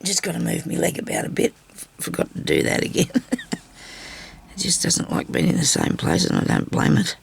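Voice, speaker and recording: Older woman, raspy and hoarse, lively sing-song delivery, chatty craft host. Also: lowish voice, fairly quick, slight background noise. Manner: chatty, rambling asides while stitching. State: hoarse with a bad cough. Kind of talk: casual sewing tutorial chat. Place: home craft table. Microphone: overhead camera on her hands.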